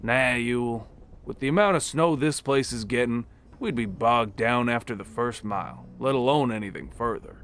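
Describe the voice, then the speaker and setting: A man's voice: mid-range voice, moderate pace, fairly bright tone, slight background noise, lightly acted. A man narrating an audiobook voices Classic, a blunt, sarcastic male mechanic.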